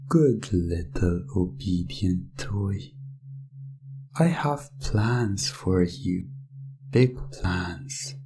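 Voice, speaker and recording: A man acts out a smug, controlling master of a magic gem in an ASMR hypnosis roleplay. His lowish, gravelly voice is mellow and hushed, coaxing the listener with condescension. There is faint noise in the close recording. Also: full character voice. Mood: smug, possessive. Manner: slow, hushed, coaxing and condescending, slips into whispers. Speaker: roleplay audio performer.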